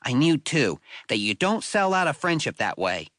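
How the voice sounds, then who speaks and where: Guy playing a wry school kid telling his own story, conversational and expressive, lively pitch, no room sound. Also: normal speed, no room sound, clean studio sound. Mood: worried, reflective. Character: wry, self-deprecating sixth-grade human boy narrator. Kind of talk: first-person audiobook storytelling.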